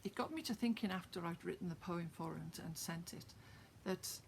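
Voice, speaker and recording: A Welsh woman with a lowish voice talks straight to her viewers, chatty and full of little "um"s and restarts. Then she settles into reading a rhymed poem of her own. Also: a little fast, a little hiss or hum. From Wales. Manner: chatty and direct with viewers, many fillers and restarts. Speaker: poet sharing her own work. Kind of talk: casual chat, then a rhymed poem recitation.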